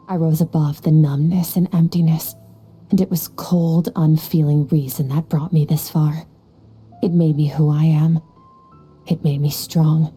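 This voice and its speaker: A cold, dark-voiced swordswoman. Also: low voice, breathy edge. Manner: cold, flat, unemotional. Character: cold, detached adult swordswoman. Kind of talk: video game cutscene dialogue. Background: faint music.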